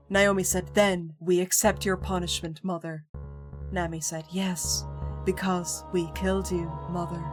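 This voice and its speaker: A woman streamer reading a spooky visual novel aloud, breaking into jokey, excitable reactions between calm narration, with music behind her. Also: lowish voice.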